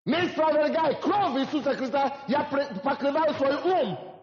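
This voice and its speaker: High-voiced preacher in a reverberant hall. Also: male voice, lively intonation, unhurried. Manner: preaching with rising emphasis and repetition. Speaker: pastor. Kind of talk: sermon. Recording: dull lo-fi hall recording.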